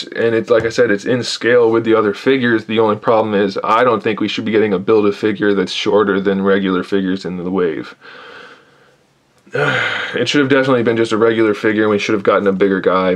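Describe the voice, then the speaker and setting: Guy doing a toy review, lowish voice, tired and kinda hoarse, griping a lot. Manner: critical, tired, complaining. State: losing his voice. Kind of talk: casual product review, off-camera voice.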